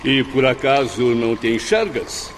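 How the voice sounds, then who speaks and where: A man playing a haughty, pompous lost gentleman in a radio drama. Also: medium pitch, animated, a little slow, long reverb tail, a little hiss or hum, natural voice. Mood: scornful. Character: haughty, pompous gentleman suicide soul in tattered finery.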